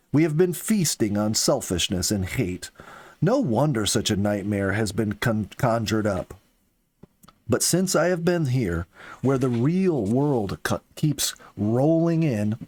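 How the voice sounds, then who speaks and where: A man reading the part of an earnest, philosophical young soldier in an audiobook, with slow, deliberate phrasing. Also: on the low side, darkish tone. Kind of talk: audiobook character dialogue.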